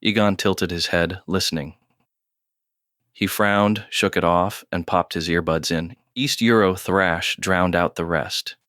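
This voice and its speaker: Low, even male narrator, very clear. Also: dry recording, clean studio sound. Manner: measured, terse storytelling. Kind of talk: scripted audio drama narration.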